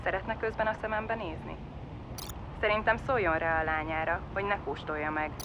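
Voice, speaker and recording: An actress plays a dutiful female android attendant in a sci-fi radio play. She speaks in courteous stock phrases, muffled and lo-fi, with clear noise around her. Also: on the low side, steady tone, lightly acted. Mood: polite, businesslike. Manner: courteous, formulaic customer service talk. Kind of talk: science fiction audio drama acting.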